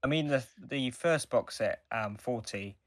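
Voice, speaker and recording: A man co-hosting a podcast, giving reviews in a casual, halting chat with sentences that circle back. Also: mid-range voice, dry recording, studio quality.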